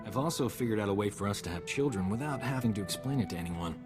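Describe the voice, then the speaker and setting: Man playing a cocky, low-voiced flirtatious doctor. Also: expressive, quick pace, music in the background, lightly acted. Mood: breezy, boastful. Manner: breezy, self-satisfied, joking. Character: cocky, flirtatious male doctor, wisecracking space adventurer. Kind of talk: scripted video game acting.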